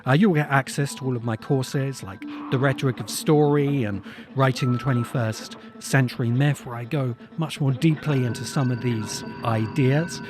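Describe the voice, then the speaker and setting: A man speaking as a podcast host in an explanatory lecture style, with measured, deliberate phrasing. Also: medium pitch, music in the background. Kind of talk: explanatory lecture to camera or audience.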